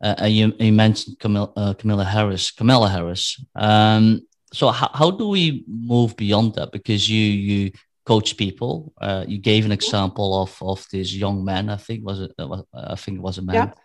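Man, lowish voice, interviewer, halting with lots of ums. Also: steady tone. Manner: halting, many fillers. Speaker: podcast co-host. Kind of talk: conversational interview.

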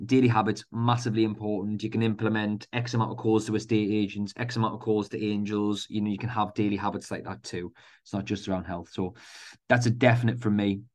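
A man with a broad Geordie accent talks casually into his own podcast mic. His voice sits on the low side. He rambles a little, with fillers and restarts, in a friendly, motivational way. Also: a little mellow. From North East England. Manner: chatty, informal, many fillers and restarts. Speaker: podcast host.

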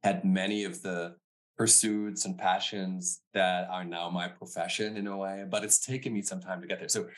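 A middle-aged man with a German accent and a low voice speaks as a podcast guest. He talks thoughtfully and often softens his words with "you know" and "sort of." The sound comes through a remote call. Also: level tone, normal speed. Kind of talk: reflective interview talk.

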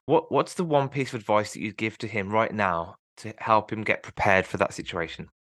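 A man's voice: lowish voice, fairly quick, fairly bright tone, dry recording. A man with a Birmingham accent hosting an interview, encouraging his guest and often affirming what he says. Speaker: interview host. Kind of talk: conversational interview.

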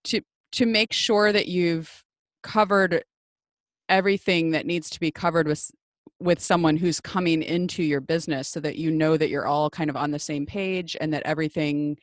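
A woman with a Midwestern American accent, chatting casually as she interviews a guest as host, talkative and quick to laugh. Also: low-pitched, fairly even intonation, dry recording, studio quality.

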